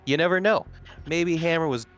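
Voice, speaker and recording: A man's voice: on the high side, normal speed, a bit bright. A man talking casually as a player in a tabletop roleplaying game.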